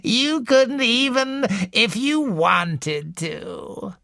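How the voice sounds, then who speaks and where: A man's voice: very high voice, sing-song, vocal fry, pushed and bright, dry recording, studio quality, pitched above the performer's own voice, full character voice. Man voicing gloating tyrant old woman. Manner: slow, savoring, menacing. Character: cruel domineering elderly woman, gloating tyrant mother.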